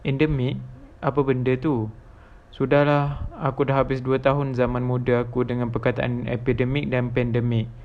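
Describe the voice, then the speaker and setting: A man's voice: mid-range voice, little pitch movement, normal speed, close to an everyday voice. Man acting as young Malaysian housemate, muffled sound. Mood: puzzled. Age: young adult. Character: curious young male housemate, chatty with friends. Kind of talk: scripted amateur animation dialogue.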